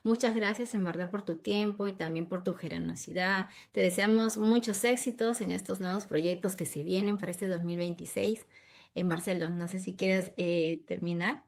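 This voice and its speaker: A woman's voice: lowish voice, steady tone, moderate pace, creaky, darkish tone. A woman from Peru hosting an interview, appreciative as she leads the questions and thanks her guest. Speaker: interview host.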